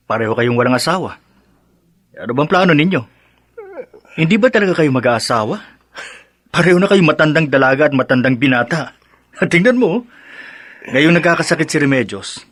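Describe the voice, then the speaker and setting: An actor with a Filipino accent playing a well-meaning middle-aged village friend in a radio drama who gives insistent, chiding advice. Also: male voice, mid-range voice, lively intonation, a little fast, pauses often, fairly bright tone, no room sound. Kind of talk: Tagalog radio drama acting.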